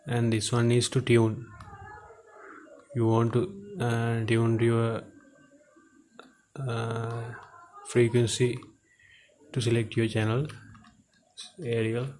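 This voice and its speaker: Monotone, slow-talking man demonstrating a gadget. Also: mid-range voice. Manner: halting, hesitant delivery with short phrases. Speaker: presenter of a gadget teardown video. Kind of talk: unscripted product demonstration. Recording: clear steady background noise.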